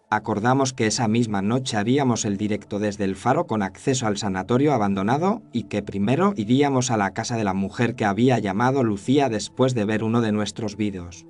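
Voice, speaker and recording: Actor as solemn, low-voiced horror narrator. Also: male voice, darkish tone, natural voice. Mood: ominous, grave. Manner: solemn, measured storytelling. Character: haunted, regretful male cameraman recounting a horror tale. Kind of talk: first-person scripted horror narration.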